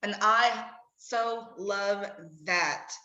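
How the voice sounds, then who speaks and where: Female interviewer for a talk podcast, affirming and conversational, mid-pitched, in a reverberant room. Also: drawn-out words, sharp tone. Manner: affirming, echoes and agrees with guest. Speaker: podcast host interviewing a guest. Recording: dull audio in echoey room.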